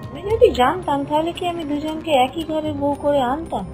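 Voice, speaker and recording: A woman's voice: high-pitched, steady tone, fairly quick, mellow, soft background music, pitched above the performer's own voice, natural voice. A woman voicing a doting, easily flustered middle-aged village mother-in-law in a moral-story cartoon. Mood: flustered, pleading.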